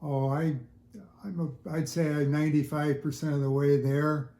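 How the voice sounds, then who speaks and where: An older man chatting casually in an interview, explaining things in a halting, thoughtful way. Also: fairly high pitch, level tone, dark tone. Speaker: interview guest, audiophile hobbyist. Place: indoors at home listening room. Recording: roomy, echoey home recording.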